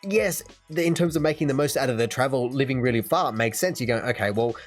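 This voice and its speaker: Man, Australian accent, young adult, reaction host, fast talker, mid-pitched, soft music behind. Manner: chatty, measured, hedging. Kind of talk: casual commentary.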